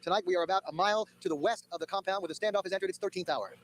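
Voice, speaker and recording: A male TV news reporter delivering a brisk, formal field report. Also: sits high, slight background noise. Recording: archival TV news broadcast.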